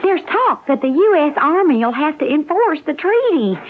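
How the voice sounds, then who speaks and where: Worried young wife, high pleading voice that swoops, old radio drama. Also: female voice, very animated, normal speed, slight background noise. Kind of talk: 1970s radio drama acting. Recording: tinny, band-limited 1970s radio drama.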